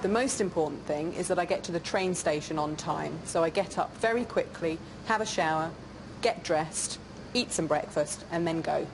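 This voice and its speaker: A woman's voice: sits low, normal speed, background noise. A woman answering interview questions in a casual way, with some fillers.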